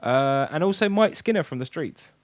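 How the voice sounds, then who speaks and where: A man's voice: a little high, animated, moderate pace, no echo. A British man co-hosting a podcast in casual, rambling, blokey banter with plenty of swearing. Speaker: podcast co-host. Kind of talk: casual banter, informal chat.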